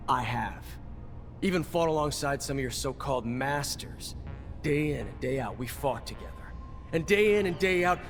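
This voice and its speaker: An actor playing a cynical veteran soldier in a video game, gruff and blunt in manner. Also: male voice, mid-range voice, natural voice. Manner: gruff, sardonic, blunt, swearing. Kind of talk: video game dialogue acting. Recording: music behind the voice.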